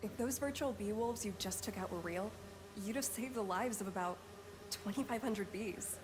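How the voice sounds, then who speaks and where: An actress playing a polished woman scientist who explains her research clearly and professionally in a video game cutscene. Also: mid-range voice, moderate pace, background noise, natural voice. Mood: pleased.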